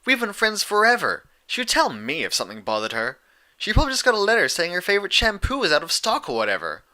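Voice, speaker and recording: A man's voice: high-pitched, huge pitch swings, fast talker, bright, forceful tone, pitched above the performer's own voice, exaggerated voice. A man voicing a brash, impatient tomboy pegasus mare, blunt and exasperated, in a storybook reading. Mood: scornful.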